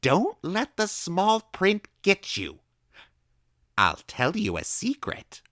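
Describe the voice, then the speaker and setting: A man voicing an eccentric, eager middle-aged woman recluse who speaks in loud, excitable, cryptic bursts. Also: a little high, normal speed, clear, resonant tone, no echo, clean studio sound, voice pushed up. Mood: excited.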